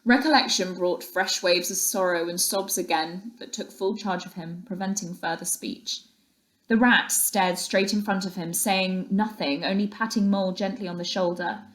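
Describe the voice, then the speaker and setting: Female teacher for storybook narration, medium-pitched voice, reading aloud to schoolchildren. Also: fairly even intonation, normal speed, fairly bright tone, poor audio.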